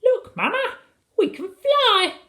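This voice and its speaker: A storybook reader voicing tiny, excitable little boys in a squeaky, childlike manner. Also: slow, heavily acted. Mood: excited.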